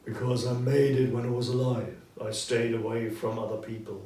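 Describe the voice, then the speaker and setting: A man's voice: medium pitch, little pitch movement, mellow, natural voice. A man voicing the anguished, regretful ghost of a dead businessman in a storybook reading, with solemn, emphatic delivery. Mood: mournful. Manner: slow, solemn, emphatic declamation. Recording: roomy echo, distant mic, background noise.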